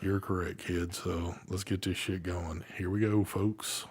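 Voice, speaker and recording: Deep-voiced laid-back host. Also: male voice, flat delivery, measured pace, a little mellow, breathy edge. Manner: laid-back, swears casually, chuckles often. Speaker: live vape show host. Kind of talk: casual live-stream chat.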